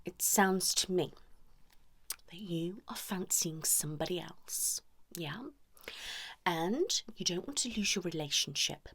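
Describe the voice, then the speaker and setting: Whispering woman, breathy and creaky. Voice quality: breathy, soft whisper mixed with low murmur. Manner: chatty, confiding, addressing listeners directly with frequent tag questions. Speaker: ASMR performer role-playing a couples therapist. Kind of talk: whispered ASMR roleplay.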